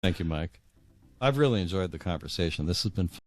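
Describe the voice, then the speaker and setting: Low-voiced man, earnest interview guest. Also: lively intonation, no room sound. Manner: earnest, halting, repeats words. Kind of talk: conversational, opinionated interview talk.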